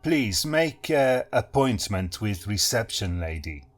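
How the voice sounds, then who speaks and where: A man's voice: sing-song, slow pace, exaggerated voice. This is a flamboyant middle-aged hypnotist, put on by a man with a thick Eastern European accent. He talks in a big theatrical way, fond of odd sayings. Faint music plays behind the voice. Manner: theatrical, booming, prone to wild laughter.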